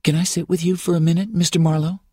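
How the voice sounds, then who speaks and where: A man's voice: fairly high pitch, little pitch movement, quick pace, a little mellow, husky, dry recording, studio quality, voice pushed up. A man voicing a forthright, curious young small-town woman in an audiobook, in a confiding conversational way. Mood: confiding, insistent. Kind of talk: audiobook character voice.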